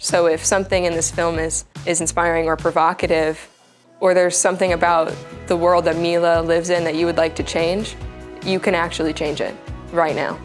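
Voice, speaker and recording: A woman speaking earnestly in a behind-the-scenes interview, urging viewers to act. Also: lowish voice.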